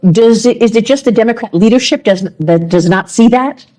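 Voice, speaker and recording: A woman's voice: a little high, fairly quick, mellow. A woman putting questions as an interviewer.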